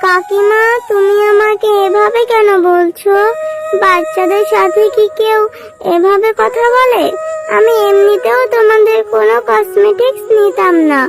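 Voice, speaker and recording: Very high-pitched earnest little girl. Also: steady tone, unhurried, creaky, exaggerated voice. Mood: hurt. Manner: childlike, plaintive, earnest. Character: earnest little girl jackal in a children's cartoon. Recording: music behind the voice.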